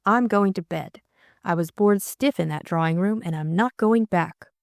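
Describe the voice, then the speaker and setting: Woman playing outspoken, low-pitched young Englishwoman. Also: normal speed, darkish tone, dry recording, studio quality, close to an everyday voice. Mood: tart, bored. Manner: retorting, plain-spoken. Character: Bundle, keen, outspoken young upper-class Englishwoman. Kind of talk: audiobook character dialogue.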